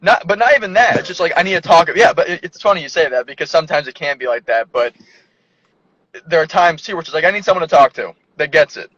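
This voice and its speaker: A man's voice: fairly high pitch, lively intonation, fast talker, fairly bright tone. A man speaking as a guest in a casual chat, loose and chatty, filling his speech with "like". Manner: loose, chatty, heavy use of "like". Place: inside a parked car. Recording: dry, dull lo-fi sound in a car.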